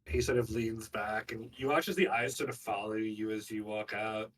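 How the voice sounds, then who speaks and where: A man improvising narration as game master of a tabletop game, casual and halting. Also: on the low side, steady tone, fairly bright tone.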